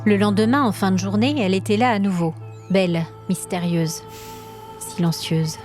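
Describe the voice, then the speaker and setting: A woman storyteller reading scripted dramatic narration in an expressive, suspenseful manner. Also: medium pitch, normal speed, faint music behind.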